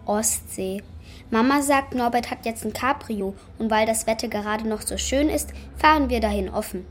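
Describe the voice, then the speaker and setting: A woman plays a young schoolgirl with a high voice in a children's radio play. She talks plainly and chattily, curious but slightly defensive, over faint music. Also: normal speed, lightly acted. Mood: defensive, casual.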